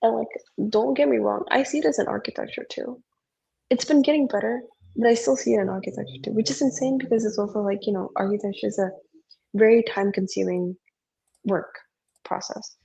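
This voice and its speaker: A woman's voice: a little high, fairly quick, gravelly, warm tone, slightly rough, rough recording. A young woman who grew up speaking Indonesian, venting in English in a casual podcast chat, rambling and swearing with lots of filler words. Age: young adult. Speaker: podcast co-host.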